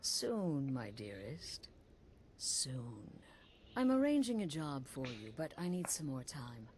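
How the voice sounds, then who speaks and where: An actress voicing a cool-headed female leader of an assassin guild in a video game, speaking softly and slipping into whispers. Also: rumbling low, very animated, slow pace, exaggerated voice. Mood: smooth, welcoming. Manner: soft, intimate, dropping to whispers.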